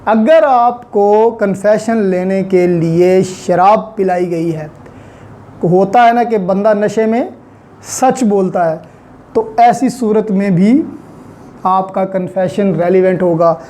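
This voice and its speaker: A man's voice: sits high, slow pace, warm tone. A man with a Pakistani accent giving an explanatory law lecture, didactic, restating his points and mixing in English terms.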